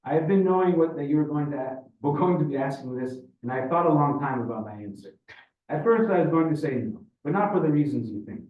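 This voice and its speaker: A man's voice: on the high side, lively intonation, fast, pitched above the performer's own voice, lightly acted. A man voicing a teasing older Russian father who speaks in folksy maxims, in a story reading. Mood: solemn, philosophical. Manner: pronouncing, folksy maxims in non-native phrasing. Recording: echoey room, distant muffled mic.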